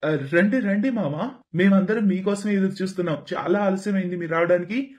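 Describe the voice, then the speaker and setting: A man's voice: high-pitched, fairly quick, darkish tone, voice pushed up, close to an everyday voice. A man playing a poor, kind, hardworking husband and father with an affectionate manner in a moral story. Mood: welcoming. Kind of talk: animated moral story voice acting.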